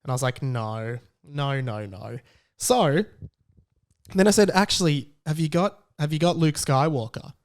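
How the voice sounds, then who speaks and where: An Australian man hosting a podcast, telling a personal story in a casual, chatty and animated way. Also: a little high, unhurried. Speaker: podcast host.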